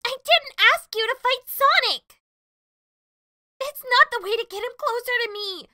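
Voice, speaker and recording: A feisty, lovestruck cartoon hedgehog girl, extremely high and piercingly bright. Her girlish delivery is emotive and swings widely in pitch, with music playing underneath. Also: animated, measured pace, no room sound, studio quality, exaggerated voice. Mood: indignant. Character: excitable, lovestruck, feisty young female hedgehog. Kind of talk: fan comic dub acting.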